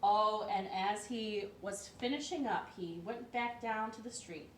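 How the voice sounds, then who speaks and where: A woman hosting a children's storytime from her living room, telling the story in a playful, expressive and emphatic way. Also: mid-range voice, unhurried. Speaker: storytime host. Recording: roomy echo, distant mic, light noise.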